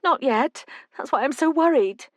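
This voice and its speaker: A woman's voice: high-pitched, lively intonation, dry recording, studio quality, pitched above the performer's own voice. Woman voicing a frightened tearful older mother. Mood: distraught. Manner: tremulous, rushed outpouring.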